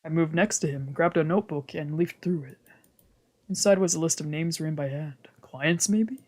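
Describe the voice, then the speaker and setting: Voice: mid-range voice, moderate pace, a little mellow. Streamer reading visual novel narration. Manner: reads narration in first person, translating lines, with whispered asides. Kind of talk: reading game narration aloud.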